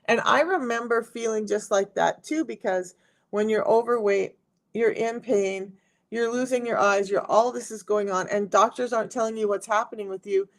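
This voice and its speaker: Woman, middle-aged, raspy and gravelly, mid-pitched; chatty live-stream health coach talking to viewers. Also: normal speed. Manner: chatty, talks straight to viewers. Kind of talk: casual live-stream talk.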